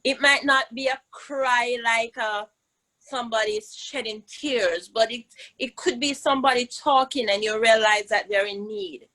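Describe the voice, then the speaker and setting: A woman's voice: fairly high pitch, fairly even intonation, normal speed, sharp tone, rough recording. A woman with a Jamaican accent hosting a children's lesson, speaking in an encouraging, emphatic way and prompting her listeners. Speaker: host of children's virtual storytime, teacher. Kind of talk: live interactive lesson for children.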